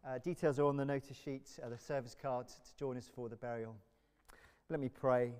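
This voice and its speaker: Male church minister, English, middle-aged. Clear, resonant delivery to a congregation, solemn and measured, in a big echoing hall. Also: mid-range voice, fairly even intonation, darkish tone. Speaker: church minister leading a funeral service. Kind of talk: liturgical prayer and announcements to a congregation. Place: large church, indoors.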